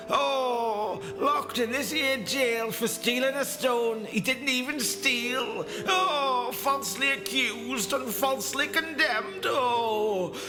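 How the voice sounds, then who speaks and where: Wailing prisoner dad, super high moany voice, slow, music under it. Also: male voice, long reverb tail. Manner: melodramatic, moaning, overwrought. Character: wailing, wronged prisoner father, melodramatically self-pitying. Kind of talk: comic radio play acting.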